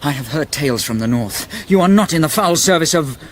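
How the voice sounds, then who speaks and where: An actor playing an earnest, naive young hero with mock-heroic delivery in a radio fantasy comedy. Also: male voice, a little high, a little fast, close to an everyday voice. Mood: earnest, puzzled. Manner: mock-heroic, declamatory, excitable. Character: earnest, naive young male hero, humble log poacher. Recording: clear background noise behind the voice.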